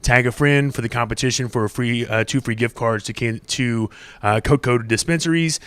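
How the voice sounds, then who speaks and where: Male host for a casual live show, smooth clear voice, dry close sound. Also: mid-range voice, moderate pace, fairly bright tone, dry recording.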